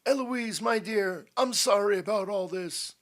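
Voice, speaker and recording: A man voicing an old grandfather in an audiobook story, courteous and deliberate, commanding yet kindly. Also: sits high, a bit bright, pitched above the performer's own voice. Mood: kindly, firm.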